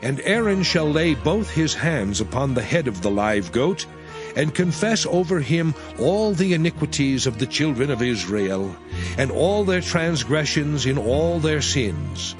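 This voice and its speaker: Man reading scripture over music. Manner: measured, expressive reading with lively pitch. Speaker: scripture narrator. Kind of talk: scripted Bible reading in archaic English. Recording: music bed under the voice.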